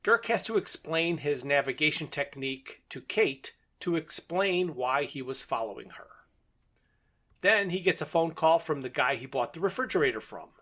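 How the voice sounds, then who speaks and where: Higher-voiced man recapping a story. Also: expressive, moderate pace, sharp tone. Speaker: podcast host recapping a radio drama. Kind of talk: episode recap in conversation.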